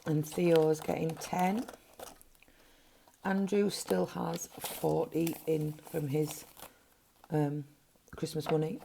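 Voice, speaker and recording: Gruff low-voiced woman chatting to camera. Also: warm tone, a little hiss or hum. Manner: chatty, counting notes under her breath. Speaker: budgeting vlogger. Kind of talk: casual cash-stuffing vlog narration. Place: home, at a table. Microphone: overhead camera framing hands.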